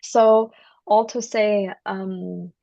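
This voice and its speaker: A woman with a gravelly, creaky edge to her voice explains ideas earnestly, pausing to check in with the listener. The recording is dry and a little dull, with a lo-fi quality. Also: mid-range voice, fairly even intonation, dark tone, no room sound. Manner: earnest, explains with frequent "right?" check-ins. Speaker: author and guest. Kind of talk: explanatory interview talk.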